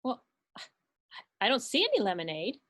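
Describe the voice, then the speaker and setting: A woman's voice: a little high, huge pitch swings, normal speed, a bit bright, heavily acted. A woman voicing a polite, prim little girl who is easily offended, in a library puppet show.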